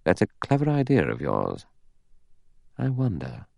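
A man's voice: deep voice, lively intonation, moderate pace, vocal fry, no room sound, clean studio sound. A man playing a cool, cynical, self-interested adventurer in an audiobook, nonchalant and wry. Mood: thoughtful. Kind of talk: audiobook character dialogue.

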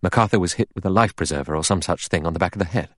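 A man voicing a pale, shaken male doctor who reports things in a quick, clipped way. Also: low voice, no room sound, clean studio sound. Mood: tense.

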